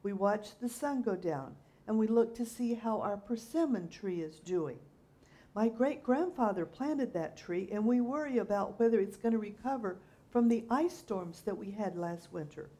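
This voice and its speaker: A woman voicing a cheerful, gentle old Japanese man in his seventies in a spoken story. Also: mid-range voice, animated, normal speed, natural voice. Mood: delighted. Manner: gentle and delighted, chatty.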